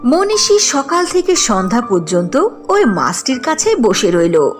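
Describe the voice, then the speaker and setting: Woman narrator, scripted folk-tale storytelling, fairly high voice, animated intonation, music underneath. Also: a little slow.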